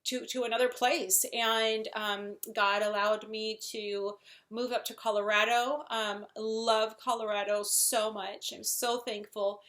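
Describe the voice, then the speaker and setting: A woman's voice: fairly high pitch, little pitch movement, unhurried, a bit bright. A woman with a Wisconsin accent casually introduces herself in an effusive way, leaning on repeated words for emphasis. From Wisconsin, USA, and central Mexico. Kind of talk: casual chat to camera.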